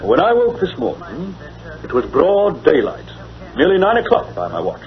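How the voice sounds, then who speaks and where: A muffled old radio recording of a proper English gentleman, easily astonished. His voice sits fairly high and rises and falls animatedly as he speaks in earnest, formal British tones. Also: wildly swooping pitch, moderate pace. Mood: agitated, amazed. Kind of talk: mid-century radio drama acting. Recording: dull vintage radio recording.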